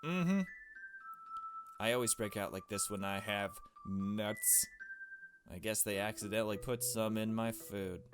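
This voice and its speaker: A man's voice: fairly low pitch, a little slow, over music, natural voice. Man putting on a dreamy, sweet dead young woman. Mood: wistful.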